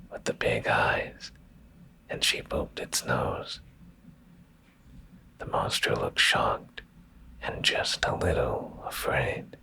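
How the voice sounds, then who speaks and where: Male ASMR narrator for bedtime stories: heavy vocal fry, breathy whisper, deliberate pauses, expressive pitch movement. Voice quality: breathy, gravelly low whisper. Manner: slow, hushed, deliberate delivery with pauses.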